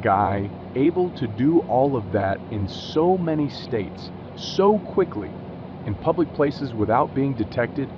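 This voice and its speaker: An animated man acting as a skeptical male caller in a horror drama, chatting emphatically with a friend. His voice comes over a dull line with clear noise around it. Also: mid-range voice, slow pace. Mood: skeptical, uneasy. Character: suspicious man gossiping with a friend by phone. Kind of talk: scripted casual phone chat. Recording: dull, noisy outdoor phone call.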